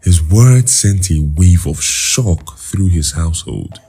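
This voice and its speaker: A man narrating a folktale as a scripted story, in a measured, dramatic manner. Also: low voice, expressive, dark tone, husky.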